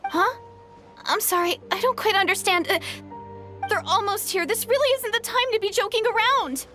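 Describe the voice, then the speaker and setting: A woman's voice: very high-pitched, moderate pace, pushed and bright. A woman voicing a frantic, flustered young girl in a video game, pleading with dramatic urgency. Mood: panicked, pleading. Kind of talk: animated video game voice acting. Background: faint music.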